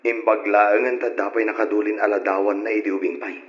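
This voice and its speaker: Level-headed older brother, voiced by a man, calm, in a roomy lo-fi recording. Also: lowish voice, fairly quick, close to an everyday voice. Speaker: son. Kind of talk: 1940s-style radio drama acting. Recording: echoey, dull old radio recording.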